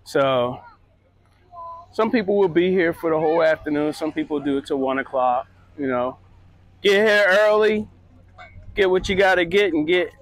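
A middle-aged man strolls an outdoor market, chatting easily as he points things out. His voice sits a little high, and the phone mic catches it dry and close, with no room around it. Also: lively intonation, normal speed, pauses often, dry recording. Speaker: vlogger. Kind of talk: casual walking commentary. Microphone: handheld phone camera.

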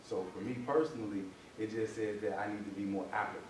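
A man's voice: fairly low pitch, steady tone, fast talker. A man giving a reflective comment in a Bible class, often checking that listeners follow with small tag phrases. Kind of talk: reflective group discussion comment. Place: church meeting room, far camera. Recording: distant mic, very echoey, noisy room.

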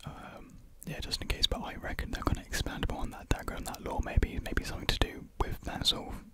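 A young man speaks in a breathy whisper close to the microphone, rambling softly and hesitantly in a casual ASMR chat. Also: brisk. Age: young adult. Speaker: ASMR video creator. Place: small room with painted block walls. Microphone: large desktop USB condenser microphone, close up.

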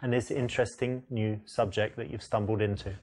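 An actor playing a confident dating coach who corrects the player in a firm, instructive way. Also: male voice, fairly low pitch, steady tone, a little fast, a little mellow, natural voice. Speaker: dating coach narrator in a game.

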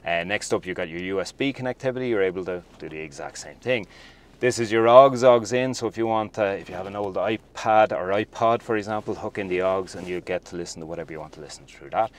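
Enthusiastic deep-voiced car reviewer. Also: male voice, quick pace. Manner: chatty and enthusiastic, addresses viewers as folks. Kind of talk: casual video walkthrough. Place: inside a parked car.

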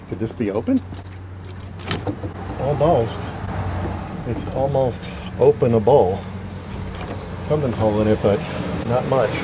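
A man narrating a casual, off-the-cuff exploring vlog on a handheld phone outdoors. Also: mid-range voice. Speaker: vlogger, explorer. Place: outdoors by a roadside building. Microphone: handheld camera phone. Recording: loud traffic and outdoor noise.

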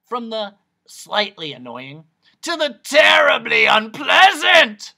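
A narrator voicing a manic, excitable male doctor in a storybook, a theatrical salesman of noise who bellows and stretches out his words. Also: extremely high pitch, slow pace, creaky, pitched above the performer's own voice, exaggerated voice. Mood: excited, boastful.

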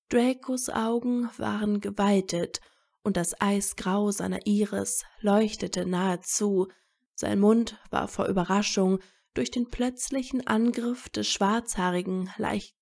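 A woman's voice: medium pitch, vocal fry, mellow. A woman narrating a scripted story as an audiobook reader.

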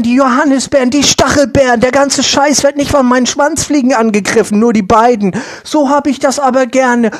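Man playing a shrill, brash, foul-mouthed bully. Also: dry recording, studio quality. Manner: brash, foul-mouthed, mixing English words into slang. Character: loud, vulgar, domineering male troublemaker bullying his neighbours. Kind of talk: improvised comic audio sketch in slangy speech.

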